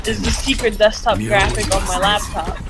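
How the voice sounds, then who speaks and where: A woman's voice: mid-range voice, fairly even intonation, normal speed, over loud music. A woman reading fan fiction aloud in a casual group call, giggly and often stumbling and repeating phrases. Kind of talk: amateur read-aloud of fan fiction during casual chat.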